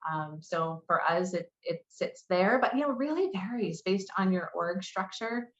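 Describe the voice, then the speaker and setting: A woman's voice: lowish voice, animated, measured pace. A woman giving a business presentation and answering questions as a marketing lead. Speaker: conference presenter, head of customer marketing. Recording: roomy echo, slightly muffled sound.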